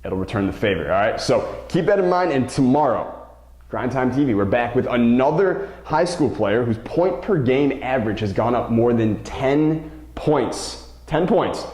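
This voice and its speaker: In a roomy, echoing space, a man talks to viewers like a coach hosting his own motivational show. His mid-pitched voice leans hard on key words, often repeating them for emphasis. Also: expressive, normal speed, a little mellow. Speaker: basketball coach and show host. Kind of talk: motivational vlog talk to camera.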